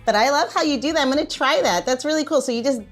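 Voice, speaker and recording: A woman podcast co-host chatting casually, bubbly and quick to laugh as she reacts to her co-host. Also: sits high, faint music behind.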